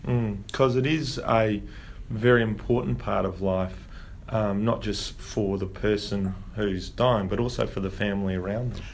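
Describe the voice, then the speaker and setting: A man's voice: fairly low pitch, steady tone, unhurried, creaky, slight background noise. A man hosting a podcast, interviewing a guest in a friendly, encouraging way. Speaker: podcast host, doctor.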